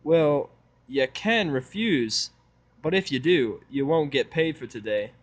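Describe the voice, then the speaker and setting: A man plays a country farm pony mare for an audio drama. The voice is lifted in pitch and folksy, with a friendly, sly manner of a boss used to getting her way. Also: lively intonation, slow pace. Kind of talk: fan fiction audio drama acting.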